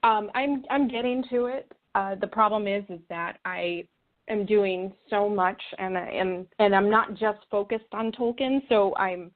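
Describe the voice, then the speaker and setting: A woman's voice: mid-range voice, steady tone, unhurried. A woman answering as an interview guest, earnest and conversational, often rephrasing as she goes. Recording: thin phone-like call audio.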